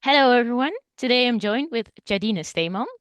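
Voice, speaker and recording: A woman's voice: a little high, lively intonation, a little fast, smooth, no echo. A woman hosting a podcast interview, introducing her guest and asking questions in a friendly, attentive way. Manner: friendly, attentive, frequent backchannel murmurs.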